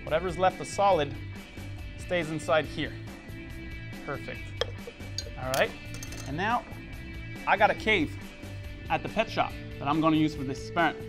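A middle-aged man with a high voice presents a hands-on science lesson. He explains each step in an informal, casual way. Faint music plays behind him. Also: moderate pace, long pauses. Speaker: science demonstrator and host. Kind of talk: casual instructional demonstration. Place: indoor school chemistry lab.

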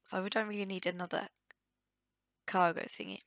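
A woman with a croaky, cold-hoarse voice, giving casual, hesitant let's play commentary as she thinks aloud. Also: medium pitch, flat delivery, moderate pace, a bit bright, no echo, studio quality. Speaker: gaming video host.

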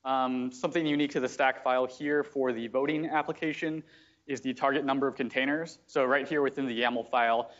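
A man's voice: medium pitch, steady tone, a little fast, fairly bright tone. Man giving a lo-fi live tech demo. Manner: explanatory, frequent fillers. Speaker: software engineer giving a product demo. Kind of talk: live technical demo talk. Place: meetup room at a company office.

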